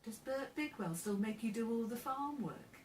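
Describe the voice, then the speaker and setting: A woman voicing a sad, shy, gentle ten-year-old girl with a plaintive manner in a storybook reading. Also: on the high side, lively intonation, moderate pace, voice pushed up, close to an everyday voice. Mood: subdued, curious. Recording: roomy, distant mic, faint background noise.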